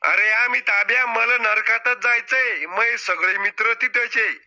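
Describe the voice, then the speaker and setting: A man's voice: a little slow, sharp tone, muffled recording, exaggerated voice. A man voicing a cunning, troublemaking old village grandfather in a cartoon, talking in a blunt, rustic, boastful way. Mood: scheming. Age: older. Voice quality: high squeaky cartoon voice. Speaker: game show contestant. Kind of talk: cartoon comedy acting.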